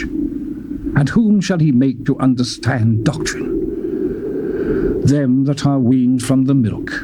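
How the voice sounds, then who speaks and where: A man with a British accent gives a dramatic, theatrical scripture reading, declaiming with sweeping rises and falls in pitch. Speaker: Bible reader. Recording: noisy old tape recording.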